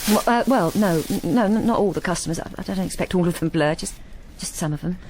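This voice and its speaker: An actress playing a timid, self-effacing, lonely British wife in a radio drama, confiding in a plain, hesitant, apologetic way. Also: female voice, lowish voice, fast, darkish tone, a little hiss or hum, close to an everyday voice, poor audio. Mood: flustered, shy. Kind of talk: radio drama with intimate first-person narration.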